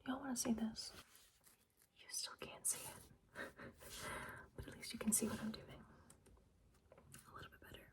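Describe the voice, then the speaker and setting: A woman whispering softly at her desk for an ASMR crafting video, chatting and teasing herself as she works. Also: a little high, steady tone, moderate pace, long pauses, distant mic. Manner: soft unvoiced whisper, chatty and self-teasing. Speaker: ASMR creator. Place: at a desk indoors. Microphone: close camera mic, sometimes set further off.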